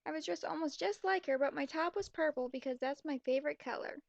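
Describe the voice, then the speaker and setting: A woman's voice: high voice, quick pace, creaky, no room sound, dull audio. A woman voicing a shallow, gossipy teenage girl with gushing, whiny delivery, as in a story read aloud. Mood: self-absorbed.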